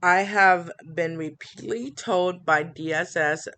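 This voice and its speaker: A woman vlogging an upset complaint, halting with many fillers and restarts, reading from written notes. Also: lowish voice, pushed and bright, a little hiss or hum. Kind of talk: personal complaint vlog.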